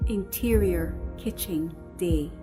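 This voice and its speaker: A woman reading a screenplay as dramatic scripted narration, expressive and deliberate in her storytelling. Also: fairly low pitch. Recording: music under the voice. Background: music.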